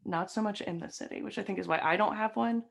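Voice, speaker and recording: A woman's voice: mid-range voice, fast talker, vocal fry. A young woman with a light Southern American accent, chatting as an interview guest and telling stories with many fillers, recorded on a webcam in a decorated home room. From Southern United States. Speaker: guest, stream host and comic. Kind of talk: casual interview chat.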